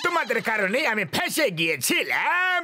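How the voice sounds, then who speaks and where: A man's voice: very high-pitched, huge pitch swings, normal speed, heavily acted. A man voicing a boastful, easily panicked gangster villain in a cartoon, theatrical and gloating, repeating himself. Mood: aggrieved. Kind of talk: exaggerated cartoon voice acting in Bengali dub. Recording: constant loud music behind.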